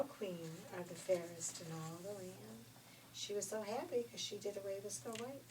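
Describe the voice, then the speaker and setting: A woman's voice: mid-range voice, darkish tone. An older woman, a grandmother telling a fairy tale informally in a conversational, folksy, emphatic way. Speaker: grandmother storyteller. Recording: distant mic, faint background noise.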